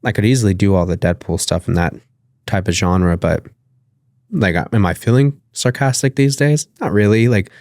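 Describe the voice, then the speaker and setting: A man's voice: on the low side, normal speed, darkish tone, no room sound, studio quality. A man with a nasal voice, talking loosely as a podcast guest, with lots of fillers and casual swearing. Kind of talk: casual interview conversation.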